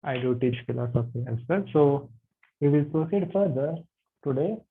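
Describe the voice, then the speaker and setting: A man's voice: on the high side, lively intonation, moderate pace, slight background noise. Man, Indian accent, yoga anatomy teacher, tinny video call audio, friendly questions. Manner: friendly, asks students questions. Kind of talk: online class teaching.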